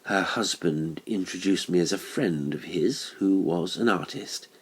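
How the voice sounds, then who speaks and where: A man narrating a horror story for an audiobook in a measured, deliberate, dramatic way. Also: deep voice, slightly rough.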